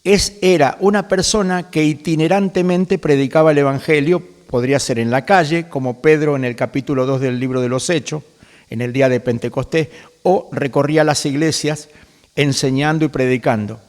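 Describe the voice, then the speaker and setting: A man in his seventies with an Argentine accent, a pastor guest who explains scripture in a conversational preaching way, quoting verses and filling pauses with "este". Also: a little high, darkish tone, long reverb tail. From Galicia, Spain. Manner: quotes scripture at length, fills pauses with "este".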